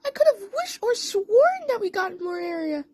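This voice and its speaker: A woman voicing an excitable female mermaid cat in a cartoon fan story, exclaiming dramatically. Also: very high-pitched, normal speed, no room sound, pitched above the performer's own voice. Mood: weary, playful.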